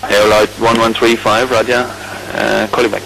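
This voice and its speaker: A male air traffic controller speaks in a low, flat voice over a noisy radio channel. He gives brisk, clipped instructions in standard procedural phraseology. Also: gravelly. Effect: aviation radio.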